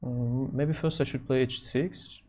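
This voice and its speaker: Slow, halting man thinking aloud. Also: mid-range voice, fairly even intonation, slight background noise. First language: Nogai. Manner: halting non-native English, thinking out loud. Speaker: chess streamer. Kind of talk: thinking aloud while playing online chess.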